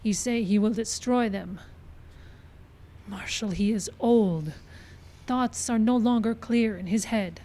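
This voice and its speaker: A woman's voice: mid-range voice, slow, long pauses, dark tone, natural voice. An actress playing a proud, solemn middle-aged woman in a radio western, speaking in formal, simple sentences. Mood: solemn, dignified. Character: proud, solemn middle-aged Pawnee daughter of old man. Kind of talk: old-time radio western acting. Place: live stage show in a park.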